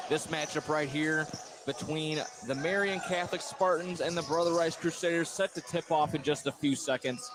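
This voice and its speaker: A man calling live basketball play-by-play for radio, often repeating his phrases as he goes. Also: a little high. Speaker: play-by-play sports announcer. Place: indoor high school gym. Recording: noisy gym, ball bounces behind. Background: gym noise and bouncing basketballs.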